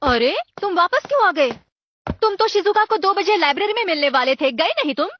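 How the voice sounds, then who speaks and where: Bossy cartoon mom scolding, really high voice, muffled noisy audio. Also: female voice, expressive, fairly quick. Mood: brisk, chiding. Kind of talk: animated cartoon dub. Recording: dull lo-fi audio with clear noise.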